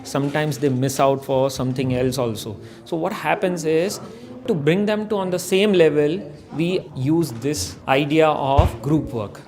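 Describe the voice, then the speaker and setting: A man's voice: fairly high pitch, steady tone, measured pace, darkish tone. A man who teaches, speaking in an explanatory interview testimonial.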